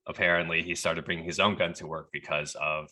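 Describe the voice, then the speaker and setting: A man from Texas tells a story on a podcast, loose and conversational. His voice sits low but has a bright, forward edge to it. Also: a little fast. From Texas, USA. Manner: relaxed, chatty, laughs at the absurd parts. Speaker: podcast guest. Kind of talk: casual storytelling.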